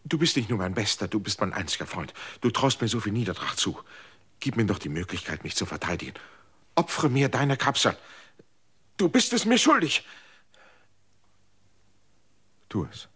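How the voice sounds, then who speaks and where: An actor playing an anxious former rebel who pleads and asks searching questions in a radio drama. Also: male voice, lowish voice, very animated, fairly quick, fairly bright tone, breathy edge, full character voice. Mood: pleading.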